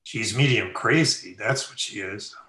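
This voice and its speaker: A man playing a wisecracking, excitable young partygoer in old-time radio style. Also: lowish voice, unhurried, reverberant. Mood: sardonic. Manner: sarcastic, excitable.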